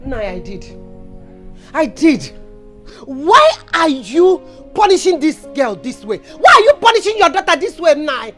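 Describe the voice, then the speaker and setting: Brief: a mum standing up for her child, very high, lively voice. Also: measured pace, faint music behind, exaggerated voice. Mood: defiant. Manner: pleading, emphatic confrontation. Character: protective mother defending her daughter. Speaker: actor.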